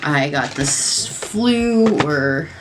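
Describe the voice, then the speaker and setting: A woman's voice: sits low, animated, drawn-out words, background noise. A young woman doing her makeup on camera, rambling casually with lots of fillers, her voice a little nasal after a cold. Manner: rambling, lots of likes and ums. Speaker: beauty vlogger. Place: home at night.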